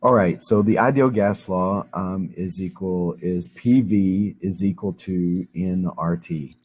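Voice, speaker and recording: A man teaching a chemistry lesson while hoarse and losing his voice, explaining each step patiently with frequent ums. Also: deep voice, steady tone, slow pace, a little mellow. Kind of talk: recorded classroom lecture.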